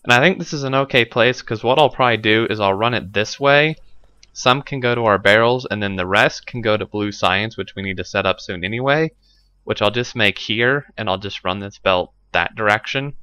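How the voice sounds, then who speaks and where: A man's voice: fairly low pitch, a little fast, pushed and bright, clean tone, dull audio. A man with a stuffy nose commenting casually on his gameplay, thinking aloud with lots of fillers, recorded on a desk microphone at home. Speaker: let's play host.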